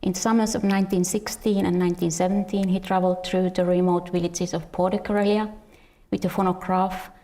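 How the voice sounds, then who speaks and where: A woman's voice: lowish voice, level tone, a little fast, dark tone. A woman with a Finnish accent gives an academic lecture, partly read from a script, stumbling and repeating words now and then. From Finland. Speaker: researcher and musician presenting at a conference.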